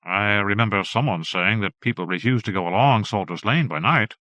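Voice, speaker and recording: A man's voice: fairly low pitch, fairly quick, pushed and bright, no room sound. A man voicing a chatty old male town scholar who relishes telling local legends. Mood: gossipy.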